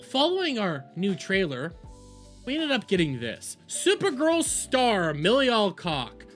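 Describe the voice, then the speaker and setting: A man hosts a livestream with opinionated reaction commentary. His delivery is emphatic and animated, with pitch that moves around a lot, and he often repeats phrases to drive a point home. Faint music plays behind his voice.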